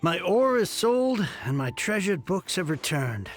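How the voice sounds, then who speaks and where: A man's voice: sits high, big pitch swings, unhurried. A man voicing a grateful middle-aged male merchant heir in a video game, speaking earnestly and effusively, with a little grumbling.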